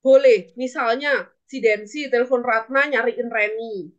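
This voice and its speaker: Female Indonesian lecturer, higher-pitched, instructive delivery for an online language class with example phrases. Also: fairly even intonation, a little slow, lo-fi sound. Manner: instructive, repeats and translates phrases. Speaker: English lecturer.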